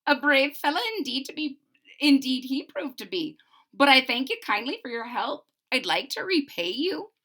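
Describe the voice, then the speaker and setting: A woman doing a shrill feisty leprechaun. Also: moderate pace, heavily acted. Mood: sly. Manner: shrill, excitable. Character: tiny male leprechaun, feisty and sly. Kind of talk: storybook read-aloud.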